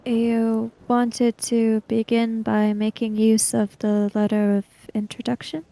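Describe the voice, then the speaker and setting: A woman playing a tabletop roleplaying game, speaking in character with careful, formal, halting phrases. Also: on the high side, monotone, vocal fry, dark tone.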